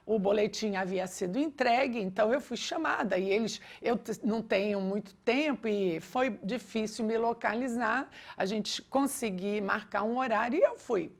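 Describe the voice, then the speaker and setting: High-pitched Brazilian woman teaching through stories. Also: moderate pace, few pauses. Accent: Brazilian Portuguese. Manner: emphatic, acts out reported dialogue. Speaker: teacher of a religious education lesson. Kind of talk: teaching with a personal anecdote.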